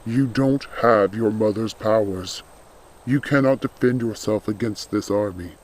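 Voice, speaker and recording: A man playing a loyal undead skeletal servant in a storybook, speaking in a grave, earnest, measured and protective way. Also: fairly low pitch. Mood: firm, protective.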